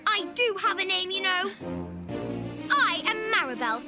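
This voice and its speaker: A British woman voicing a bossy, sharp-tongued young girl adventurer in a video game, huffy and haughty. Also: extremely high pitch, big pitch swings, a little slow, over loud music, heavily acted. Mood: indignant.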